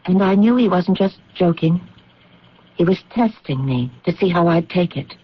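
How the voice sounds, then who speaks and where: An actress playing an anxious, grieving widow in a radio drama, speaking haltingly and earnestly. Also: female voice, on the low side, lively intonation, breathy edge. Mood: pained, halting. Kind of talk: old-time radio drama acting. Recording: muffled radio drama recording.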